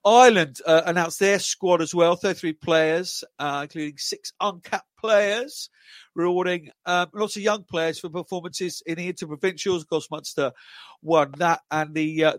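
A man hosting a podcast, chatting casually and stumbling over his words as he restarts phrases. His voice sits a touch high for a man. The sound is dry and close, with no room around it. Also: no room sound. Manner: halting, repeats words often. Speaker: podcast host. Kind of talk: casual interview chat.

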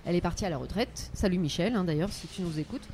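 A woman's voice: on the low side, a little mellow, slight background noise. A woman who works as a freelance humor critic, chatting and joking casually in a bar. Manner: chatty, jokey, quick laughs. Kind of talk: casual chat.

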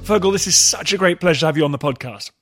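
A man's voice: fairly high pitch, racing, over music. A man hosting a history podcast, introducing a guest in a chatty way with jokey asides. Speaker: podcast host.

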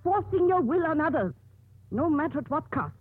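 An actress playing a stern, authoritative older nun who runs a convent, with commanding, emphatic delivery in a 1950s radio drama. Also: female voice, sits high, lively intonation, normal speed, a little hiss or hum. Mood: stern, reproving. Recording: muffled narrowband old radio recording.

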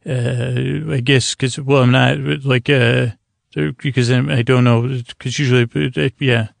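Creaky-voiced man rambling softly at bedtime. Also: medium pitch, little pitch movement, no echo, clean studio sound. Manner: slow, languid, meandering, with long pauses. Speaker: podcast host. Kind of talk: rambling bedtime sleep podcast monologue.

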